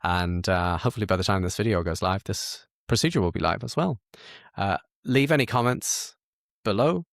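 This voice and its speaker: Low, gravelly man thinking aloud. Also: normal speed, no room sound, studio quality. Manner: informal, self-correcting, musing aloud. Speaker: host of software tutorial. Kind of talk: casual screen-share walkthrough, thinking aloud.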